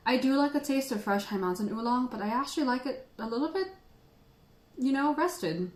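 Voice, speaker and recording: A woman's voice: sits high, lively intonation, a little fast. Woman, from the Philippines, casual tea livestream host, playful, laughs a lot, echoey room. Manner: chatty and playful, laughs often. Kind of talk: casual livestream chat answering viewers.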